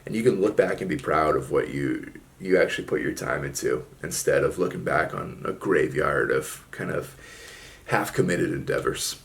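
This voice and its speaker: A low-voiced young man with a gravelly edge talks to his audience in an easygoing, rambling way. He drawls through his thoughts and leans on casual fillers as he goes. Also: moderate pace. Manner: laid-back, drawling, frequent fillers like "man" and "like". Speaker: solo video host. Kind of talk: casual reflective monologue.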